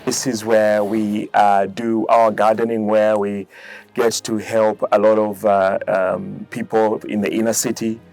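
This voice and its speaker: A man from a garden project speaking haltingly in a documentary interview, with many pauses and fillers. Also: fairly low pitch, little pitch movement, darkish tone.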